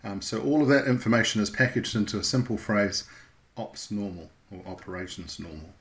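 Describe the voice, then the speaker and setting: Male instructor, lowish voice, unscripted explainer delivery, measured and slightly hesitant, pausing to think between phrases. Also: fairly bright tone. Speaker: instructor presenting a radio how-to video. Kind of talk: informal unscripted tutorial.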